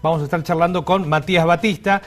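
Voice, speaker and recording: A man's voice: a little high, fairly even intonation, fast. A man co-hosting a talk show, chatting casually and piecing his phrases together a little hesitantly. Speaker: television co-host. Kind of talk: casual co-host chat previewing segments.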